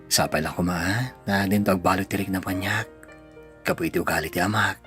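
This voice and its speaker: A man's voice: sits low, steady tone, brisk, a bit bright, faint music behind. A man playing an earnest, pained son and devoted husband in a radio drama, pleading, with an Ilocano accent. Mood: tender, worried.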